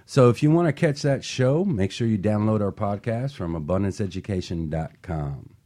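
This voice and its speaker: Deep-voiced motivational male radio host. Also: animated, normal speed, dark tone. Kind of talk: upbeat scripted radio hosting with banter. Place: radio studio.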